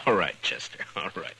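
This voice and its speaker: Low-voiced, blunt frontier marshal, forceful and bright. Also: male voice, creaky, slight background noise, heavily acted, poor audio. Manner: blunt, authoritative. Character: stern, weary frontier marshal, no-nonsense lawman. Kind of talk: 1950s radio western acting. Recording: old 1950s radio recording.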